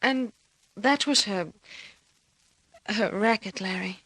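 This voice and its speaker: A woman playing an anxious, excitable young client in an old-time radio detective drama, with emotive, melodramatic delivery. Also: medium pitch, slow pace, slightly rough, no echo, exaggerated voice, poor audio. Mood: shaken, curious. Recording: dry old radio recording.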